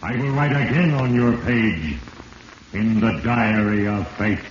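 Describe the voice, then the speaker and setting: Low, echoing voice of Fate played by a man. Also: lightly acted. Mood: ominous judgment. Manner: grave, deliberate, accusing second-person address. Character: Fate, solemn ominous spirit narrating a sinner's downfall. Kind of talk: 1940s radio mystery narration. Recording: muffled echoing vintage radio recording, hissy.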